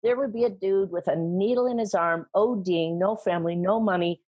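Husky, animated woman speaker. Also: mid-range voice, normal speed. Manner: animated, emphatic, laughs often. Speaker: guest, sales trainer and speaker. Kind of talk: conversational interview.

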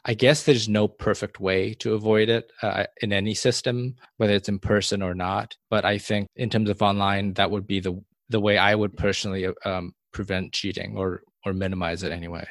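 A man co-hosting a discussion podcast, speaking in a measured way, weighing both sides with small restarts. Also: lowish voice, level tone, no echo, clean studio sound. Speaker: podcast co-host. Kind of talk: casual discussion.

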